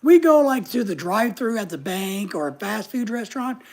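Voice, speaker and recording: A middle-aged man with a high voice often taken for a woman's, chatting casually and joking at his own expense while reading viewer comments. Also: expressive, normal speed, a little mellow. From United States. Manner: chatty, joking, self-mocking. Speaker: channel host reading live chat. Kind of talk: casual livestream chat.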